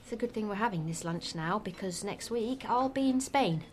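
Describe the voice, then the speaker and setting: A woman's voice: medium pitch, animated, moderate pace, natural voice. An actress playing a romantic, bookish woman of forty-five who talks with breezy enthusiasm. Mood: earnest. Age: 40s. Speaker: friend at lunch. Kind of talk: scripted radio drama dialogue.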